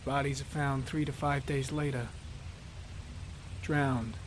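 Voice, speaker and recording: A man's voice: medium pitch, flat delivery, slow, a little hiss or hum, natural voice. A man voicing a weary, driven male FBI profiler who delivers case facts in a clipped, matter-of-fact way. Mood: clinical. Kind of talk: voicing a game character.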